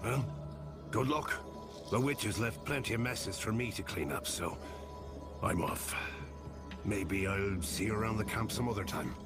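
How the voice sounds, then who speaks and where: A man's voice: on the low side, unhurried, long pauses, loud music behind the voice, close to an everyday voice. An actor playing a wary, wry woodsman hunter in a fantasy game, dry and matter-of-fact. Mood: wry, resigned.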